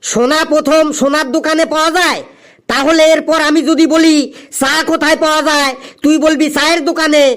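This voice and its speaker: A man's voice: very high-pitched, fairly even intonation, fairly quick, fairly bright tone. A man voicing an exasperated, scolding male schoolteacher in a comedy cartoon, speaking emphatically. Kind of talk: exaggerated comic cartoon dubbing.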